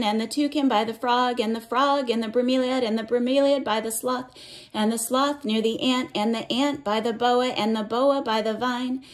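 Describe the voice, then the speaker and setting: Female storyteller for kids' picture book, slightly high voice, unhurried delivery, sing-song and instructive. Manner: sing-song cumulative verse, gentle questioning of young listeners. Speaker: read-aloud storyteller for children. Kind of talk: reading a picture book aloud, with simple explanations for children.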